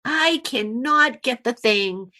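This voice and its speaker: A woman's voice: a little high, lively intonation, slow, sharp tone. A woman guest on an interview podcast, talking casually and frankly, leaning on repeated words for emphasis.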